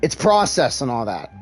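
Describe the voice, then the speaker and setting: High-voiced man, slow casual game streamer. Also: husky. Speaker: gaming streamer. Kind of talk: casual live commentary over a game. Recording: game music behind the voice.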